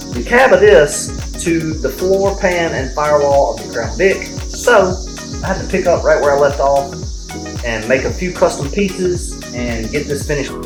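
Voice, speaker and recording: Male, 30s, relaxed build-channel host on camera, roomy carport sound, insects and music behind. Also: on the high side. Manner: relaxed, chatty, greets viewers. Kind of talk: casual on-camera talk in the shop. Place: open-sided carport workshop outdoors.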